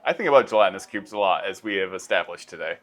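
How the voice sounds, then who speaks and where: Bright-voiced male interviewer. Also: sits low, expressive, rapid-fire. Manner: enthusiastic, reactive. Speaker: interviewer, host. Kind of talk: casual interview chat.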